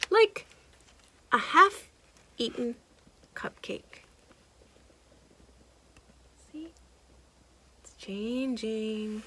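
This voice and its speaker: A woman's voice: on the high side, a little hiss or hum. A woman reading a children's picture book aloud expressively, with asides spoken directly to young listeners. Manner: animated, addressing children directly, pointing things out. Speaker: children's storytime host and reader.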